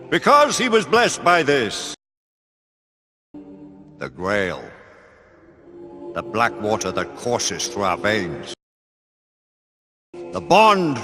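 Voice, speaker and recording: An actor playing the solemn elder leader of a knightly order, speaking in grave, ceremonial oratory for a video game drama. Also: male voice, mid-range voice, a bit bright, heavily acted.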